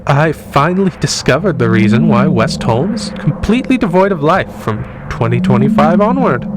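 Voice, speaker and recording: A man's voice: a little high, sing-song, moderate pace, noisy background. A man playing a smug, mocking time-travelling professor villain in an audio drama, condescending and amused, with a genteel manner. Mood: smug, musing.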